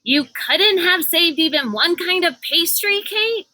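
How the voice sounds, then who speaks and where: A woman's voice: very high voice, animated, measured pace, pushed and bright, pitched above the performer's own voice, exaggerated voice. A woman voicing a fussy little girl from a storybook, complaining in disgust. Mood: disgusted, grumbling. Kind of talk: storybook character voice.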